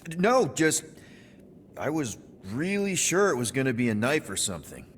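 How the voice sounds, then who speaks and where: A man's voice: medium pitch, sing-song, normal speed, slight background noise, close to an everyday voice. An actor voicing a flippant but good-hearted space adventurer in a video game, casual and a little hesitant in his speech. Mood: teasing, curious. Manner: casual, joking, hesitant when sincere. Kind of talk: cinematic video game voice acting.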